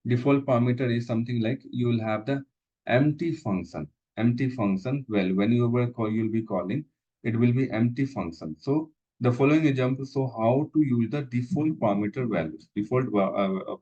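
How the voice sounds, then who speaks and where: A man walks through code in a lesson, halting and repeating himself with frequent fillers. His voice sits at an ordinary middle pitch. The sound is slightly dull and lo-fi, like a screen recording. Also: mellow. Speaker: instructor. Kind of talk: live coding lesson walkthrough.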